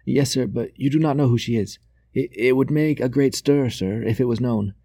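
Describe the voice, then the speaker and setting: An agitated, furtive servant played by a male narrator in an audiobook story, lifted in pitch. He is deferential and pleading, stumbling over repeated words in his haste, with a close, dry sound. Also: normal speed, dark tone, dry recording, lightly acted. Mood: anxious, pleading. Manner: hasty, stammering, deferential.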